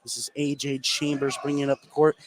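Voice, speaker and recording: A man doing live play-by-play basketball commentary for radio, getting excitable on big plays. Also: mid-range voice, fast talker. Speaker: play-by-play sports announcer. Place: indoor school gym. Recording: clearly noisy live gym broadcast. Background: gym ambience and noise.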